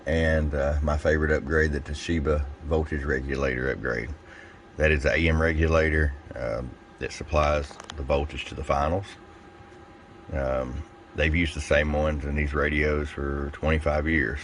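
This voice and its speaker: A man with a very deep, low voice talks through a technical product demo in an informal, folksy way. He sounds like a hands-on technician and seller explaining his work. Some background noise sits behind the voice. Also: flat delivery, moderate pace. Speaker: radio technician and seller presenting a product video. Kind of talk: casual workbench product demonstration. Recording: clear background noise, room ambience.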